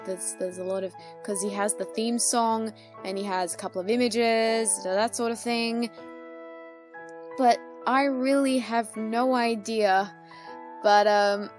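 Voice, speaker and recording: Slow-paced woman, higher voice, casual chat. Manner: hesitant, rambling, lightly amused. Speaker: YouTube host answering fan questions. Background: soft music.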